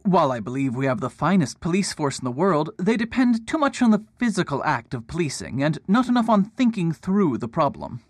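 An actor playing a proud, pompous detective in a radio drama, lofty and defensive in manner. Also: male voice, fairly high pitch, big pitch swings, a little fast, few pauses, dry recording. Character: proud pompous male detective, middle-aged.

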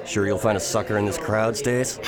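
A man's voice: lowish voice, steady tone, fairly quick, over a noisy crowd, lightly acted. A man playing a grumbling, mocking young college student in an audio drama, speaking in a sardonic, slangy way.

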